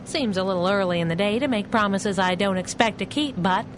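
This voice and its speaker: A woman's voice: mid-range voice, brisk, noisy background, natural voice. An actress playing a sassy young female sidekick in a 1930s radio drama, with a cheeky, slangy, teasing way of talking. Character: sassy, wisecracking young woman, superhero's loyal sidekick.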